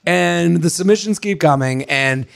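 A hoarse man co-hosts a relationship podcast in loose, joking chat. His voice sits a bit high and has a rough, gravelly edge. He is animated and pokes fun at himself. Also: measured pace. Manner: joking, animated, self-mocking. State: hoarse, voice recovering. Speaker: podcast co-host. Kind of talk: casual chat.

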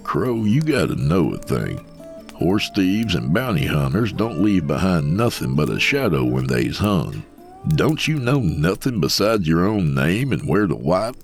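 A man voicing an ancient trickster coyote spirit as a gruff cowpoke, with a gravelly, folksy American drawl, blustering and mocking. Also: low-pitched, very animated, unhurried, faint music behind, pitched below the performer's own voice, exaggerated voice. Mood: amused, bossy.

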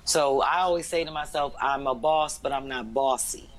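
A woman comedian and booker with a deep, raspy voice, telling stories bluntly in casual podcast chat and acting out the quotes. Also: normal speed. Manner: blunt, animated storytelling with acted-out quotes.

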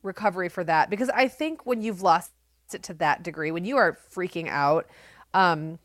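A woman's voice: lowish voice, normal speed, no echo, clean studio sound. A middle-aged woman co-hosting a podcast, telling stories in a casual, candid, self-deprecating way with a big, projecting voice. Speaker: podcast co-host. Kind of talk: casual conversational podcast talk.

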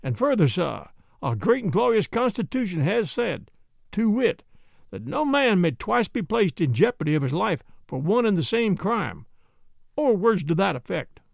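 A man voicing a formal, pompous frontier gentleman with wordy speech. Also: sits high, sing-song, moderate pace, gravelly, no echo, studio quality, heavily acted.

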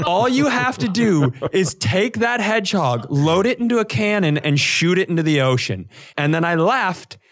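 A man co-hosting a comedy podcast, telling animated, casual stories and acting out the dialogue. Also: sits high, normal speed.

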